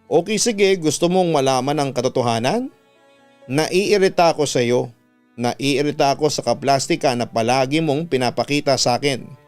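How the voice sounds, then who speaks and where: A man's voice: medium pitch, moderate pace, darkish tone, clean tone, soft background music, close to an everyday voice. Man voicing a bitter, jealous Filipino older sister. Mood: irritated. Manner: irritated, accusing, emphatic. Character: resentful, jealous young older sister, bitter and sharp-tongued.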